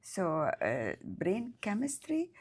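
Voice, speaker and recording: A woman's voice: mid-range voice, huge pitch swings, slow, darkish tone, husky. A woman in her fifties from Egypt, speaking English. She answers interview questions as a psychiatrist, hesitant, with many fillers and grammar. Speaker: psychiatrist guest.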